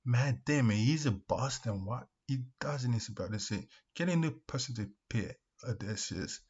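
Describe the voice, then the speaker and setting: A man giving casual opinion commentary as a video host, speaking in an emphatic, repetitive way with halting restarts. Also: medium pitch, animated, a little mellow, husky. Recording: dull, lo-fi microphone sound.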